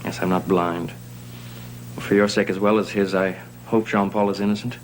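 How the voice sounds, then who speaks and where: A man's voice: low voice, flat delivery, normal speed, a little hiss or hum, close to an everyday voice, rough recording. An actor playing an intense, insistent, earnest man in a gothic soap opera. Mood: tender, earnest. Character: intense, determined man investigating, protectively devoted lover.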